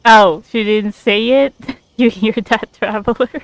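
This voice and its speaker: A woman's voice: mid-range voice, fairly even intonation, unhurried. A woman giving casual commentary as she plays through a video game. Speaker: game streamer.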